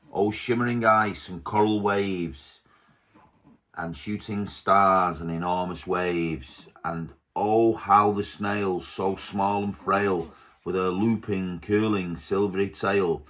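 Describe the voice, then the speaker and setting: A man reading a rhyming picture book aloud to his baby at home, slowly and gently. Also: deep voice. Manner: slow, gentle storybook reading.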